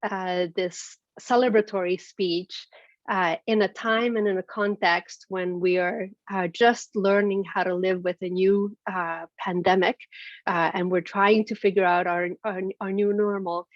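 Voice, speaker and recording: A woman's voice: mid-range voice, steady tone, a little slow, dry recording. A woman from Transylvania, Hungarian by first language, delivers an earnest prepared speech over a video call. She often stops to restart her phrasing. The audio is dull and lo-fi, in a dry space. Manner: earnest, with frequent fillers and restarts. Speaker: executive director, guest speaker.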